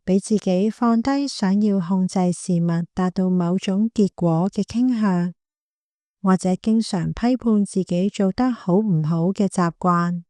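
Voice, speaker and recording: Female meditation guide, Hong Kong Cantonese accent. Gentle and calm, dark relaxed tone, very unhurried delivery. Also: medium pitch, dry recording, clean studio sound. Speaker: meditation guide, clinical psychologist. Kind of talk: guided body scan meditation.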